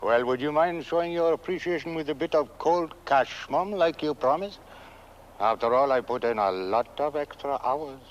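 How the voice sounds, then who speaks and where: Actor as high-pitched, cheeky workman. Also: normal speed, lightly acted. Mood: wheedling. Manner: deferential, chatty, wheedling. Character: servile, cheerfully greedy male workman, cheeky and gullible. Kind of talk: campy horror-comedy film acting. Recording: dull old film soundtrack, faint outdoor noise.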